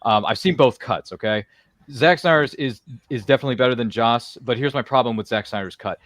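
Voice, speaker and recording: A man's voice: medium pitch, a little fast, a bit bright, dry recording. A man co-hosting a casual discussion, telling stories in an emphatic, colloquial way with theatrical asides. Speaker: podcast co-host, film critic. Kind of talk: casual chat, storytelling.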